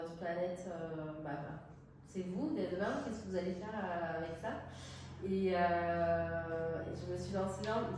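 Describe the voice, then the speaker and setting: Middle aged french woman from the south chatting in an interview, searching for words, super roomy sound, mic far away. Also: lowish voice. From southern France. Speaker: tourist office director, interviewee. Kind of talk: spontaneous interview talk. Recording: very echoey room, distant mic, faint noise.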